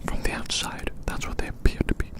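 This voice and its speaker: Whispering ASMR roleplayer, musing and repetitive. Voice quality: breathy unvoiced whisper. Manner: musing, repeats phrases slowly and deliberately.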